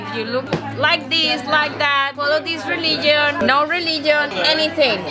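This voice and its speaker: Upbeat high-voiced woman in a busy restaurant. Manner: upbeat and encouraging. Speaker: restaurant volunteer coordinator. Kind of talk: guiding a volunteer induction. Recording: loud music and busy crowd behind.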